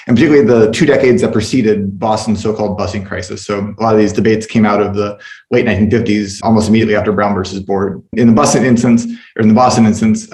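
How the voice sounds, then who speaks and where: Fast-talking man in an echoey room. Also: on the low side, a little mellow. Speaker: history professor, panelist. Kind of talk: introducing his research on a panel.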